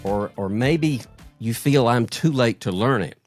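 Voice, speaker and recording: A man's voice: mid-range voice, animated, a little slow, faint music behind. A middle-aged man hosting an interview show, speaking conversationally as he introduces and questions his guest. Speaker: podcast host.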